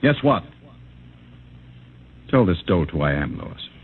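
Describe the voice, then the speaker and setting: An actor playing a haughty, imperious state director in a mid-century radio drama, with a pompous, commanding manner. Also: male voice, deep voice, sing-song, slow pace, husky, exaggerated voice. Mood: haughty, stern. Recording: tinny, narrow old radio recording.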